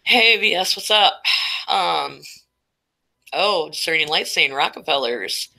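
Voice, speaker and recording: A woman's voice: on the low side, animated, slow pace, creaky, bright, forceful tone. A woman hosting a casual live stream, chatty and rambling, stuffed up and losing her voice. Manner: rambling, chatty, reading chat names aloud.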